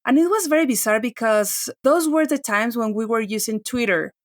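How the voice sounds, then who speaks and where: A woman's voice: a little high, moderate pace, dry recording. Chatty woman in her forties, dry mic. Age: 40s. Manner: chatty, many restarts and fillers like "like". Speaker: guest tech journalist. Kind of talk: casual podcast chat.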